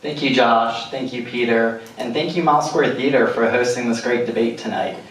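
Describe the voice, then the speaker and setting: A man from Italy running for city council, giving a smooth, prepared self-introduction. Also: medium pitch, moderate pace. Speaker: political candidate. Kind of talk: opening statement at a debate. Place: theater stage before a live audience. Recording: echoey theater from far off.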